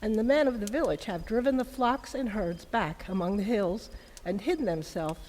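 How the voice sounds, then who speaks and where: A woman playing a gentle, timid young village mother in an amateur dramatic Christmas reading, with an earnest, deliberate delivery. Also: medium pitch, close to an everyday voice. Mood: hushed, uneasy. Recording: long hall reverb, faint background noise.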